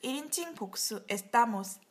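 A woman teaching a Spanish lesson, speaking slow, clear model phrases for her student to repeat. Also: a little high, lively intonation. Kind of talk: language drill lesson.

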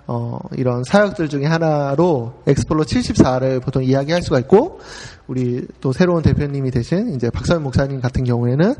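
A man in his forties hosting a relaxed talk show, chatty and full of easy fillers. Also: medium pitch, brisk, few pauses, long reverb tail. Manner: chatty, frequent filler "ye", laughs easily. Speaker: host. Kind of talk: casual podcast talk.

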